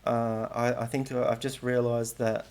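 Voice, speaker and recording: Man, middle-aged, gravelly fry, mid pitch, casual vlog, hesitant, self-correcting. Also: flat delivery, a little slow, darkish tone. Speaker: language learning vlogger. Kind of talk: casual talking-head vlog.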